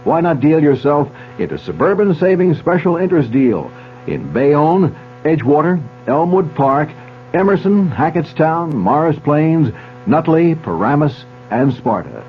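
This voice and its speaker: A man's voice: fairly high pitch, sing-song, a little slow. A man reading a scripted commercial as a radio announcer. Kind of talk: scripted savings advertisement read. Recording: dull radio broadcast, faint hum.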